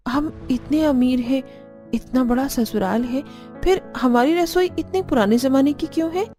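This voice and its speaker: High-pitched dismayed young bride played by a woman. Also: a little slow, mellow, soft background music. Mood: shocked. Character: young new bride, dismayed by strict in-law household. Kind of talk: cartoon story dialogue.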